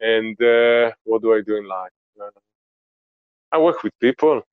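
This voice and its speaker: A man in his forties with an Israeli accent, a podcast guest speaking slowly and reflectively from home, often searching for words. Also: on the low side, smooth, no room sound, phone-quality sound. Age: 40s. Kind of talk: podcast interview. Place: home, remote interview.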